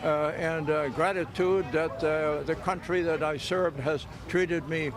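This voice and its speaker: A man in his nineties, a war veteran, recalling his service in an interview in a halting, deliberate way. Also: fairly high pitch, steady tone. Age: 90s. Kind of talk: interview recollection. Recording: music over outdoor interview sound. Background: background music.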